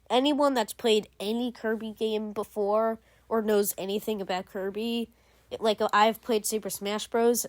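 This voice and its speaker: A woman's voice: fairly high pitch, no echo. A young woman hosting a gaming channel, giving casual, rambling game commentary full of fillers and restarts.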